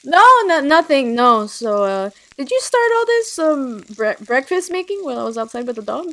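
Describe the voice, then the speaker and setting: Woman acting a sardonic young woman, highish voice, animated pitch, casual, teasing, audio drama. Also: normal speed, exaggerated voice. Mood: dry, teasing. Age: young adult.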